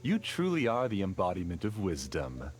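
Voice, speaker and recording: An actor playing a calm, obsessive male scholar villain in a video game, with a smooth, measured delivery. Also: sits low. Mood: menacing. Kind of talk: game voice acting.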